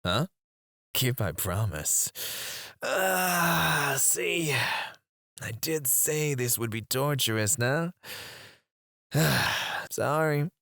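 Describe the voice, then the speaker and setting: A man voicing a teasing, menacing male captor who interrogates a prisoner in an ASMR roleplay, in a slow, intimate voice with soft chuckles and whispers on a close mic. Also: on the high side, lively intonation, no room sound, exaggerated voice.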